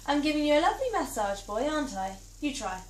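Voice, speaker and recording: A woman reading plays aloud as an amateur actor, brisk and chatty. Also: sits high, animated, slight background noise. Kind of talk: play reading, theatrical. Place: small theatre stage. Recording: roomy theatre from far off.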